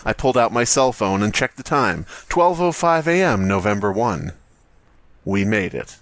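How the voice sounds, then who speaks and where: Male podcast host, highly varied intonation, dry close sound, joking, theatrical, horror reading and banter. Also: sing-song, moderate pace, a bit bright, no echo.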